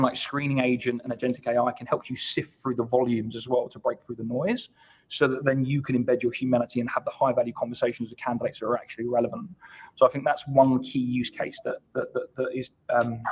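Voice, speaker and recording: Fast-talking husky man explaining business ideas. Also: medium pitch, flat delivery, darkish tone, rough recording. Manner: emphatic, repeats words as he builds points. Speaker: guest account executive at a software company. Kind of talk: business interview, conversational explaining. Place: online live video call.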